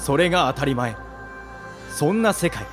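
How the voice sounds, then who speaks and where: High-voiced man narrating dramatically. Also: big pitch swings, moderate pace, over music.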